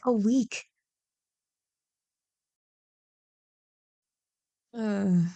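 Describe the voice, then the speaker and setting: A woman playing a playful, teasing young childhood friend who loves Halloween, in an animated, bantering ASMR roleplay. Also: mid-range voice. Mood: squeamish. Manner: animated, bantering, mock-exasperated. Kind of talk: ASMR roleplay, one-sided scripted conversation.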